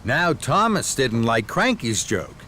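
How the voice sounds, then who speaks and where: A man's voice: medium pitch, big pitch swings, slow, pushed and bright, slight background noise. A man narrating a scripted children's story as the storyteller.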